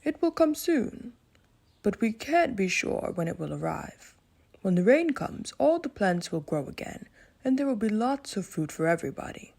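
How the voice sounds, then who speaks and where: Lady doing a wise lion for a kids story, polite bit grumpy, creaky, up and down pitch. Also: big pitch swings, moderate pace, darkish tone. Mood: thoughtful. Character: smart, polite, slightly grumpy male lion in a children's story.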